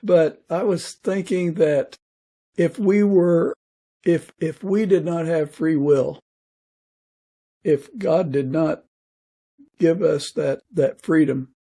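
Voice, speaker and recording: A man speaking as a podcast guest in casual, reflective conversation, thoughtful and a little halting. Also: a little high, steady tone, gravelly, mellow, husky.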